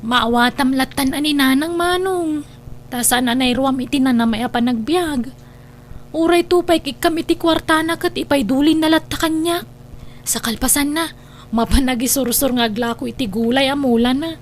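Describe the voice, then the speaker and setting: A woman's voice: high voice, moderate pace, a little hiss or hum, natural voice. A woman with an Ilocano accent playing an adult daughter in a family audio drama. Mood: earnest. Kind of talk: Ilocano radio-style family drama acting.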